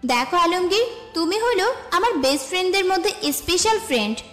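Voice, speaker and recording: A woman's voice: very high-pitched, lively intonation, fairly quick, a bit bright. A woman playing an affectionate, insistent young college student in an audio story. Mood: insistent, friendly. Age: young adult. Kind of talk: dramatised audio story acting. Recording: echoey reverb with music behind.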